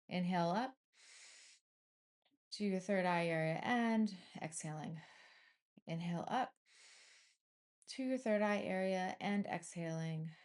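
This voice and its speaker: A woman leading a guided meditation in a soothing, unhurried manner, leaving frequent pauses between short phrases. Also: lowish voice, darkish tone.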